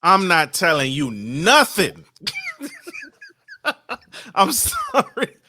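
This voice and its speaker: Bright lively male podcast host. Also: sits high, slight background noise. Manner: quick reactive comments and questions. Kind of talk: casual interview interjections.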